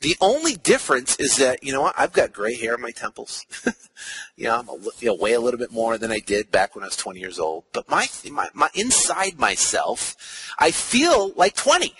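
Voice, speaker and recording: A man's voice: mid-range voice, big pitch swings, a little fast, a bit bright, breathy edge, rough recording. A man in his forties talking casually as a podcast host from his car, rambling with many fillers and restarts. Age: 40s. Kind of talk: casual monologue.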